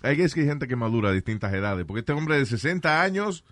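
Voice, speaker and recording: Bright-voiced man chatting on air. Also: mid-range voice, quick pace, no room sound, studio quality. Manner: switches between languages, laughing, animated storytelling. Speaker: radio host. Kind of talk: casual chat.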